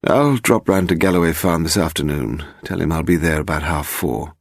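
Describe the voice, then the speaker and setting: A man's voice: a bass voice, moderate pace, no echo, clean studio sound. A man voicing a distinguished, donnish historian in his sixties who exclaims readily and is easily delighted. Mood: mild, dry. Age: 60s.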